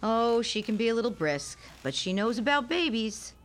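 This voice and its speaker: An actress playing an anxious, weary, gentle mother of a premature newborn in a radio play, speaking earnestly and hesitantly. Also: a little high, big pitch swings, moderate pace. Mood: earnest, explaining.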